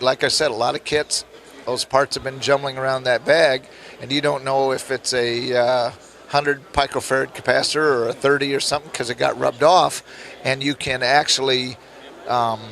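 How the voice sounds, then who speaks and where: Rough-throated man. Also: medium pitch, a bit bright. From Nebraska, United States. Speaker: interview guest, electronics kit hobbyist. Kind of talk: casual interview, explaining gadgets.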